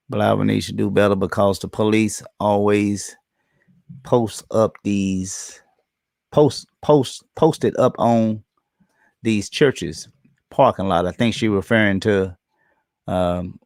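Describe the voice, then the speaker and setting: A man hosting a live-streamed community talk show, loose and halting with many ums and uhs, his voice going hoarse as he keeps clearing his throat. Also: fairly low pitch, no echo, studio quality. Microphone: computer or phone on internet stream.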